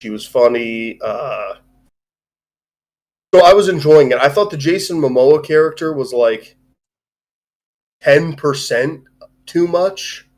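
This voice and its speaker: A man's voice: on the high side, expressive, slow, pauses often, darkish tone. A man hosting a casual movie review chat, giving opinionated but hedged takes with frequent digressions. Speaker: podcast host.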